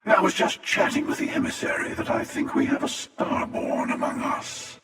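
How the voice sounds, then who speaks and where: An actor playing a cynical, menacing older male hunter in a video game, with a gravelly rasp, a sardonic taunting manner and an echoing effect on the voice. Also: extremely high pitch, expressive, normal speed, fairly bright tone, exaggerated voice. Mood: defiant, menacing. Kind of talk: video game character dialogue. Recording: echoing long reverb, distant sound.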